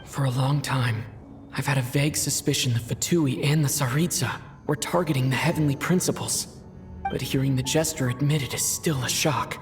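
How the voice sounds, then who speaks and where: An actor playing a thoughtful young male traveler in a video game cutscene, musing quietly in his thoughts. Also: medium pitch, normal speed, natural voice. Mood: reflective. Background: game score music.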